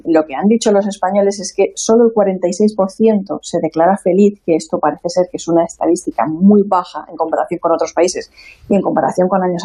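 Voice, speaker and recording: A woman's voice: lowish voice, mellow, husky. A woman with a Spain Spanish accent, explaining survey results on a radio show. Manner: explanatory, with fillers. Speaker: radio contributor. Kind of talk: presenting a report.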